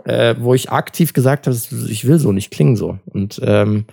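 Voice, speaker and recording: A young man with a soft, mellow tone. His pitch sits in a middle range for a man. He talks like a podcast co-host, loose and slangy, in an informal chat. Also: normal speed, gravelly. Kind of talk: casual chat.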